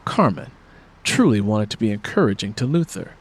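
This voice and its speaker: A man's voice: lowish voice, very animated, moderate pace, husky. A man reading scripted fiction as an audiobook narrator.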